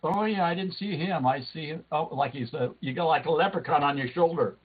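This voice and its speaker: Joking host on a tinny call. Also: male voice, a little high, a little fast, slightly rough. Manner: joking, rambling. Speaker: club meeting host. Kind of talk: casual video call chat.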